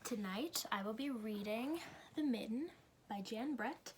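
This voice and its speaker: A woman with a fairly high voice reads a story for children. She phrases each part clearly and puts expression into the telling, as a storybook reader does. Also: unhurried, a little hiss or hum. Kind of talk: children's story read aloud.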